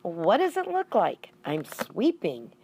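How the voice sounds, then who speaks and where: A woman's voice: medium pitch, huge pitch swings, takes it slowly, creaky, a little mellow, husky, exaggerated voice. A woman voicing a busy, plain-spoken wife and mother in a children's story, matter-of-fact and emphatic. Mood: dry.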